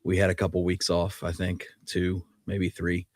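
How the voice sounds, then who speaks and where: A man's voice: low-pitched, completely flat delivery, normal speed, no echo. Man hosting a casual radio show, close dry sound. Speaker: podcast host.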